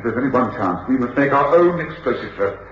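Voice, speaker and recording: A man's voice: medium pitch, animated, a little fast, close to an everyday voice. An authoritative English detective played by a man gives clipped, reasoned speech in a mid-range voice. It is old radio drama, roomy and dull, with some hiss. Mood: urgent, commanding. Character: brisk, commanding, coolly reasoning male detective. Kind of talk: 1950s-60s British radio drama acting. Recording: echoey, dull, noisy old radio recording.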